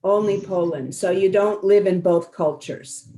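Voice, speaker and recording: A woman's voice: mid-range voice, measured pace, mellow, reverberant, a little hiss or hum, poor audio. A woman moderating a film festival Q&A in a conversational interviewing style.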